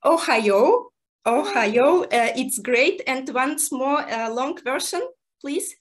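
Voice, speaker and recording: A woman's voice: high voice, animated, slow. A woman who is not a native English speaker, giggly and cheerful, chatting casually in a language tutorial as host and learner. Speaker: amateur radio host learning Japanese.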